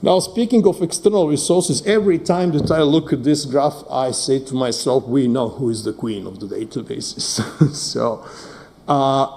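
Gravelly-voiced man giving a tech talk. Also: medium pitch, normal speed, warm tone, a little hiss or hum. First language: Serbo-Croatian. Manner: hesitant, many fillers and restarts, checks in with "right?". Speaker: data scientist presenting. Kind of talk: conference talk.

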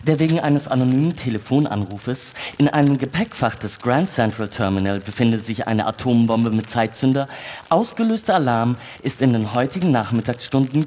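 A man's voice: medium pitch, a little mellow, a little hiss or hum, lightly acted. An actor in a staged radio play reading, playing a chatty male mission radio operator with a newsreader's delivery that can turn breezy.

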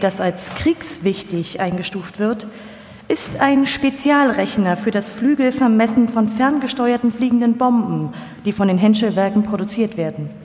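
A woman's voice: mid-range voice, darkish tone. A woman reading scripted documentary narration live. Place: live stage in a reverberant hall. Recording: echoey hall with long reverb.

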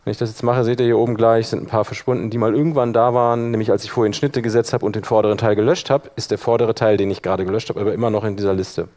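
A man teaching a live software workshop, explaining step by step in an informal spoken style. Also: fairly low pitch, steady tone, very fast, hardly any pauses. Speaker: workshop instructor. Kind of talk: live software demonstration to an audience.

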